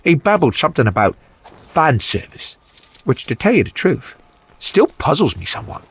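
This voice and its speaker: A man's voice: a little high, sing-song, normal speed, slight background noise. A man playing an elderly, fussy, dryly witty British butler, narrating game cutscenes in a wry, understated way. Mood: wry, puzzled.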